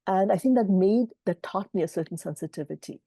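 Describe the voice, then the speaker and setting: A woman's voice: medium pitch, a little fast, gravelly, dry recording, studio quality. A middle-aged woman publisher answering interview questions over a video call, thoughtful and earnest. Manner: thoughtful, earnest, warmly reflective.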